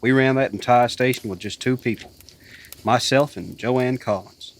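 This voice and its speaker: A man playing a courteous, measured late-night soul radio DJ in a radio drama. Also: medium pitch, lightly acted. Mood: wistful.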